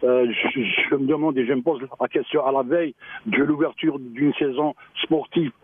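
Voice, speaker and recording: A man, a local mayor, speaking on a radio phone-in, halting and repeating words, with a choked-up voice. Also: medium pitch, fairly even intonation, breathy edge, dry recording. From Algeria. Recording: tinny phone line.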